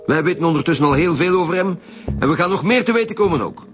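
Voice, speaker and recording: An actor playing a brisk, authoritative police detective team leader in a radio drama, with a Flemish accent. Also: male voice, a little high, animated, music in the background, lightly acted. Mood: firm. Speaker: lead detective.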